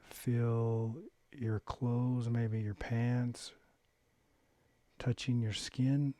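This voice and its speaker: A man leading a guided meditation, giving gentle, unhurried instructions with long pauses between phrases. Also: medium pitch, flat delivery, no room sound. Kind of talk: guided body-scan meditation, calm instruction.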